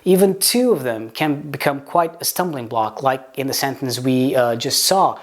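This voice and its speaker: Man with a Portuguese accent teaching pronunciation. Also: medium pitch. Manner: explains with examples, slow deliberate demonstration words, some stammered restarts. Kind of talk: video lesson to camera.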